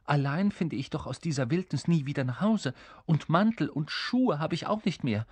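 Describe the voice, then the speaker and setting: A man's voice: fairly high pitch, expressive, normal speed, breathy edge, no echo, voice pushed up. A man voicing a heavy, anxious king who speaks in a hesitant, worried way in a storybook reading.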